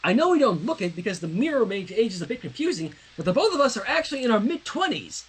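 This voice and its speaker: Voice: medium pitch, big pitch swings, quick pace, husky, voice pushed up. A reader voicing an emphatic, stern young pony princess. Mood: stern, insistent. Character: earnest, studious young pony princess in human form.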